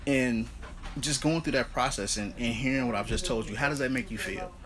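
A man in his thirties, an advocate, interviewing a guest in an earnest, steady manner with some fillers. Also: mid-range voice, a little fast. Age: 30s. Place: indoors, seated interview.

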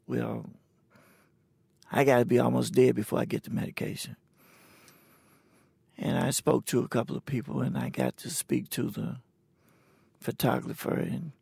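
A man in his sixties, talking plainly and haltingly about his own experience in a recorded conversation. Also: mid-range voice, sing-song, darkish tone, no room sound. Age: 60s. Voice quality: gravelly, husky. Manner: plain, halting, unhurried storytelling. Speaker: interviewee. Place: indoors at a cultural center.

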